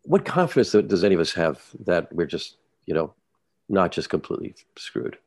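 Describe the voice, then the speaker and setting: Deep-voiced male journalist, casual podcast talk, halting with restarts, dry sound. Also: sing-song, vocal fry, slightly rough, dry recording. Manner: halting, many restarts and repeated words.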